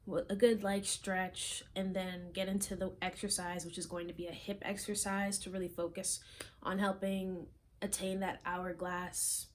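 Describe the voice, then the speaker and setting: A young woman from South Florida chatting casually to camera as a fitness vlogger, rambling with frequent ums and soft trailing asides. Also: fairly low pitch, little pitch movement, normal speed, slight background noise. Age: young adult. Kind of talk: casual chat and workout instruction. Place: small room, plain wall, indoors.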